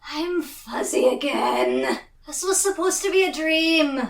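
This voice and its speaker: A woman's voice: sits high, slow, gravelly. A woman casually describing her character's actions as a player in a tabletop game. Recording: echoey room, slightly distant mic.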